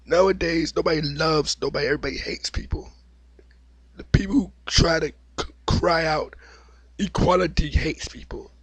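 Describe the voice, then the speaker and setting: A man with a cold and a slightly raspy voice, giving an opinionated, repetitive monologue as the host of his own video. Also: on the high side, normal speed, no echo, rough recording. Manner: opinionated, preachy, repeats himself. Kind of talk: unscripted monologue vlog.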